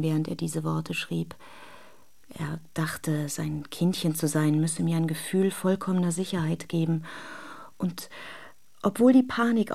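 Restless young wife, dark creaky voice. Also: female voice, lowish voice. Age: young adult. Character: restless young Russian wife recalling her marriage. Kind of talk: first-person radio drama monologue.

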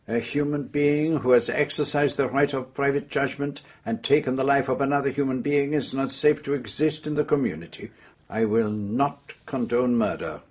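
A man playing a shrewd detective in a radio drama. He is formal and probing, with a medium-pitched, slightly husky voice. He speaks deliberately and will not bend. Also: darkish tone, natural voice. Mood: stern, probing. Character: shrewd, unbending male detective questioning suspects.

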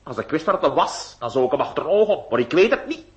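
Radio drama: flustered, excitable notary, animated delivery, prone to exclaiming and repetition. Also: male voice, on the high side, quick pace, lo-fi sound. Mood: pleading, worried. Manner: exclaiming, repeats himself, stammers when alarmed. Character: excitable, bewildered male notary, easily alarmed. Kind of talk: theatrical radio play acting.

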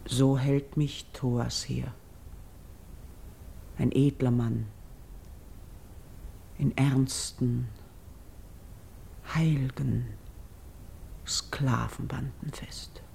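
An actress playing a noble, devout exiled priestess in grief, delivering classical radio verse in a solemn, declamatory, measured way, at times hushed. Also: female voice, rumbling low, fairly even intonation, vocal fry, a little hiss or hum, heavily acted. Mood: lamenting, resigned.

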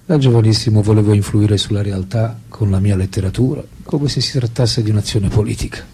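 A man in a radio drama playing a French philosopher-writer who confides his memories and love letters in an intimate, deliberate, literary way. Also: fairly low pitch, mellow, a little hiss or hum, lightly acted. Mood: reflective. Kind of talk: theatrical radio drama monologue. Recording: music behind the voice.

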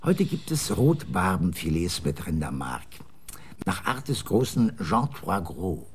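Aloof, husky gourmet novelist. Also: male voice, low voice, sing-song, unhurried, exaggerated voice. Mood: self-satisfied. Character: aloof, food-loving male novelist. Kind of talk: German radio drama acting.

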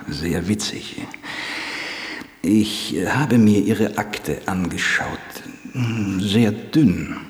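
An actor playing a dogged public defense lawyer in a radio drama, matter-of-fact and drily sarcastic. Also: male voice, sits low, very slow. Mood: dry. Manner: matter-of-fact, hesitant openings, dry sarcasm. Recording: echoey room with long reverb.

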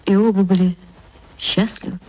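Husky woman narrating a literary story. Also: mid-range voice, steady tone, moderate pace, a little mellow, low-quality recording. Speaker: actress reading a short story. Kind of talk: expressive dramatic literary narration for radio.

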